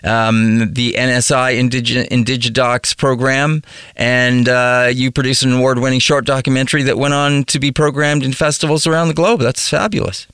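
A male host asks interview questions in a halting, encouraging way, with lots of "uh"s between phrases. His voice is smooth and resonant, and it sounds dry and close to the mic. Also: mid-range voice, little pitch movement, dry recording. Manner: warm, hesitant, many "uh"s, encouraging.

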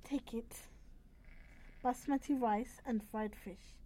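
A woman's voice: on the high side, fairly even intonation, slow pace, mellow, slight background noise. An actress playing a caring, devout friend who comforts another woman in an audio drama. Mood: soothing, sympathetic. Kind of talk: radio drama acting.

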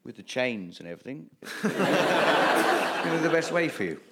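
A man improvising live comedy as a great leader, with a halting, deadpan delivery of absurd pronouncements. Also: fairly high pitch, breathy edge. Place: radio theatre stage before an audience. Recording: live theatre, loud audience, noisy.

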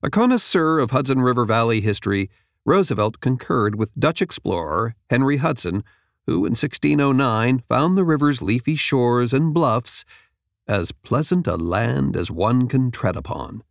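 A man reads a nonfiction audiobook in a measured, clearly enunciated way, close to the microphone. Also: medium pitch, big pitch swings, no room sound. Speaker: audiobook narrator. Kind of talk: scripted nonfiction audiobook narration. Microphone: close studio microphone.

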